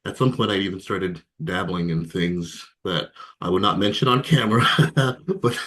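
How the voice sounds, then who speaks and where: A man in his thirties from Ghana, telling his personal story as an interview guest, reflective and hesitant, with many fillers and soft laughs. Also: low-pitched, measured pace. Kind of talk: candid personal testimony.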